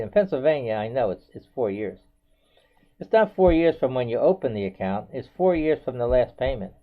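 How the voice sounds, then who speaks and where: An American man in his seventies talking casually and unscripted to viewers, rambling and circling back with frequent filler phrases. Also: mid-range voice. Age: 70s. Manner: rambling, repetitive, often says "you know". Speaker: video blogger. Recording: dull, band-limited home video audio.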